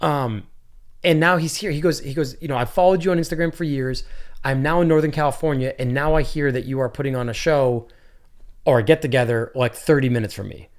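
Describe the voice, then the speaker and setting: A man's voice: mid-range voice, fairly quick. A man hosting a podcast, casually telling a long story and acting out the people in it. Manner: animated storyteller, acts out quotes. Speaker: podcast host.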